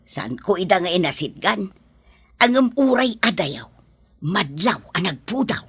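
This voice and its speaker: A woman's voice: lowish voice, big pitch swings, a little slow, fairly bright tone, husky, exaggerated voice. A Filipino woman playing a nosy, indignant mother, gossipy and insistent, in an audio drama. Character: nosy, indignant mother reporting what she saw. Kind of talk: Ilocano audio drama acting.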